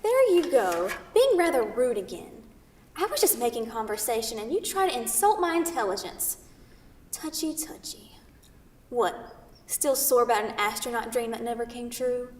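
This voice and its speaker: A woman's voice: fairly high pitch, expressive, moderate pace, a little mellow, close to an everyday voice. A woman reading the part of a brash, teasing New York City woman in a staged play, pushy and playfully sarcastic. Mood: needling, superior. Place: theatre, recorded from a distance. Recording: distant mic in echoey hall.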